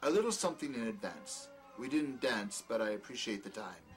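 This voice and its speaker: A man playing a peculiar, condescending doctor in a drama, speaking in a formal, wordy way, smoothly charming yet scornful. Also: mid-range voice, very animated, brisk, soft background music, lightly acted. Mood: courteous, charming. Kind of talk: dramatic dialogue in an audio play or table reading.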